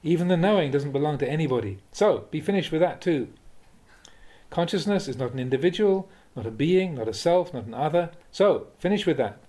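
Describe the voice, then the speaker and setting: Mellow, expressive man reading Buddhist teachings. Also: fairly high pitch, brisk. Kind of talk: audiobook-style reading of a dharma talk with questions and answers.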